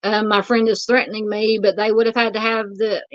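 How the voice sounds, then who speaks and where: A woman with a Southern US accent hosting a true crime livestream, reading out viewer comments in a halting, filler-filled way, polite and a little hoarse from losing her voice. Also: mid-range voice, flat delivery, no echo, muffled recording.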